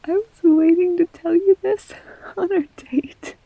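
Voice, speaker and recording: A woman's voice: very high voice, fairly even intonation, a little slow, creaky, dark tone, no room sound, full character voice, poor audio. A young woman playing an affectionate, self-deprecating girlfriend in an intimate roleplay audio, rambling candidly and casually. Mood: giddy, self-mocking. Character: affectionate, self-deprecating girlfriend comforting her partner, goofy storyteller.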